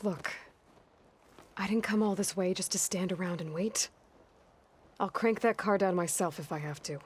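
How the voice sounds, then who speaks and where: An actress playing a determined, impatient young woman warrior in a video game, blunt and dry, with a husky voice. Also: fairly low pitch, normal speed. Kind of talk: video game cutscene acting.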